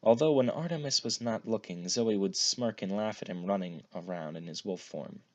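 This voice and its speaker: A man reading fan fiction aloud as an expressive narrated story. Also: on the low side, normal speed. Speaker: fan fiction podfic reader.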